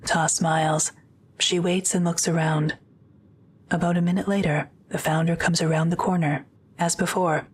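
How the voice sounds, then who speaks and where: A woman's voice: on the low side, level tone, moderate pace, husky. A woman reading scripted narration for an audio drama.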